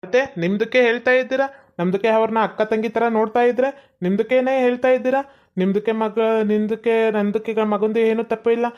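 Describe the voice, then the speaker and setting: A man's voice: very high-pitched, fairly even intonation, fast talker, lo-fi sound. A man playing a cheeky young man who speaks broken Kannada with odd phrasing, in a comedy skit. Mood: arguing.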